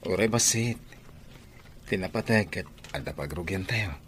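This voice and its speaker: A man's voice: low-pitched, lively intonation, moderate pace, a bit bright. A man playing a humble young husband and dutiful son in an Ilocano radio drama, earnest and respectful, with an Ilocano Filipino accent. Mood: respectful, hopeful. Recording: noisy background ambience.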